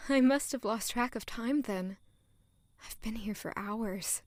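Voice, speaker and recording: A woman's voice: on the high side, unhurried, a little mellow, no echo, clean studio sound, natural voice. A woman playing a flustered, self-critical young woman in a romantic period fantasy audio drama, with formal, self-deprecating wording. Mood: flustered, defensive. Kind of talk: audio drama acting with first-person narration.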